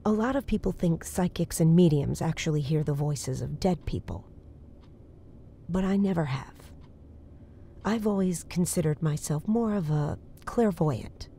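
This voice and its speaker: Low, mellow, earnest psychic woman played by actress. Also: lively intonation, exaggerated voice. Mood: uneasy, earnest. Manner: hesitant, halting. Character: earnest, uneasy woman psychic confiding strange dreams. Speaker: voice actor. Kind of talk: naturalistic horror audio drama acting.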